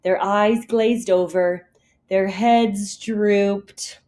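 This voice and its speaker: A woman reading a picture story aloud to kindergartners in an expressive, deliberate teacher's manner. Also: mid-range voice. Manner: animated, deliberate storytelling for young children.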